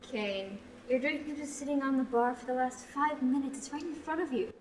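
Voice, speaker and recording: A teen girl playing a teasing, high barmaid. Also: normal speed, a little hiss or hum, close to an everyday voice. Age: teenager. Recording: distant from the mic.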